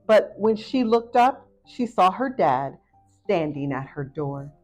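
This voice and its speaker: A woman narrating a children's picture book aloud in an expressive storytelling style. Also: medium pitch, takes it slowly. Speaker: storybook reader. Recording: muffled audio with faint music behind.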